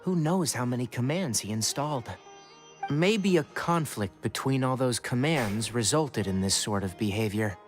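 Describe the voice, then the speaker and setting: An actor playing a young, stern forest ranger and scholar in a video game, speaking in a dry, precise, lecturing way. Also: male voice, medium pitch, expressive, normal speed, soft background music, natural voice. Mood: dry, earnest.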